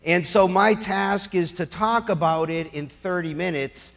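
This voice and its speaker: A man's voice: high-pitched, fairly even intonation, a little slow, a bit bright. A middle-aged American man giving a persuasive public speech as a lawyer, emphatic and rhetorical with a sardonic edge.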